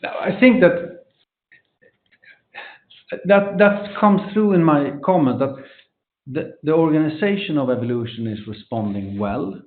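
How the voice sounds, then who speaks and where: A man speaking as a company executive, answering questions off the cuff on an investor call, often halting and repeating words. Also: on the high side, expressive. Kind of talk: unscripted answers on an earnings conference call. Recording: roomy echo, dull band-limited conference call audio.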